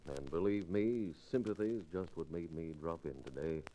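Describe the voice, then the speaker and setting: An actor playing a cold, cocky, mock-polite gunslinger in an old-time radio western. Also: male voice, low voice, expressive, normal speed, warm tone. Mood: oily, mock-sympathetic. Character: cold, cocky, smooth-talking gunslinger villain. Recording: dull vintage radio recording.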